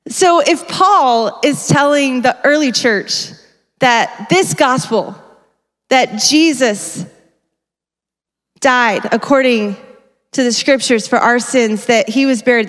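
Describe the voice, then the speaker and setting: A woman delivers a sermon at a church conference, her voice sitting somewhat high. She talks in an energetic, conversational way and often corrects herself mid-sentence. Her stage mic carries the long reverb of a large hall. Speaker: conference speaker.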